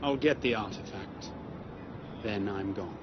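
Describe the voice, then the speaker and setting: An actor playing a brusque, sly but protective adventurer in a video game cutscene. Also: male voice, fairly low pitch, unhurried, natural voice. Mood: matter-of-fact. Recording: muffled game audio, clear background noise.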